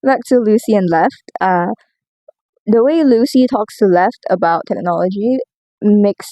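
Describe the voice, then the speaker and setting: A woman's voice: medium pitch, warm tone, no echo. A woman talking casually in a rambling, informal audio log, self-deprecating and swearing freely as she gives her opinions. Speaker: video creator giving commentary.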